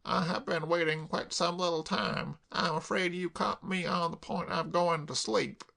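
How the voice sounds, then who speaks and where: A bluff, grandiloquent swindler with a raised, slightly gravelly voice that sounds unlike the plain narration, as a male reader puts him on. He talks slowly and grandly, full of wounded dignity. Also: high-pitched, steady tone, bright, forceful tone, exaggerated voice. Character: bluff pompous middle-aged male con man.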